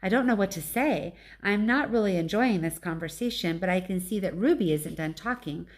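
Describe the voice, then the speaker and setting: A woman narrates a children's book in the manner of a teacher reading to pupils. Her voice sits at a middle pitch as she tells the story. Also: animated, brisk. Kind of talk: storybook read-aloud narration.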